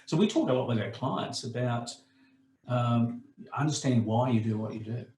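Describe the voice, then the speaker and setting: A man talks through a training webinar in an easy, explanatory way, his voice a little croaky. He sits at a middling pitch, and the sound carries a noticeable room echo. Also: normal speed, a little mellow, a little hiss or hum. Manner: conversational, explanatory, frequent fillers. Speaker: webinar presenter.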